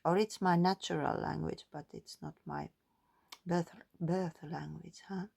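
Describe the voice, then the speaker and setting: A woman doing a calm, musing spiritual card reading, partly reading guidebook text aloud. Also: low-pitched, a little slow, gravelly, husky. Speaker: tarot and oracle card reader.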